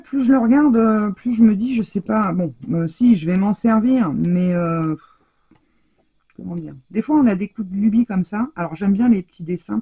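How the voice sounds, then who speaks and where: A woman from France shows off her latest craft finds in an easygoing, rambling chat full of fillers. Her voice has a gravelly, husky edge with a mellow, darker tone. She talks at a moderate, everyday pitch. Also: muffled recording. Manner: chatty, rambling, many fillers. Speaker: craft vlogger. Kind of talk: casual haul video chat.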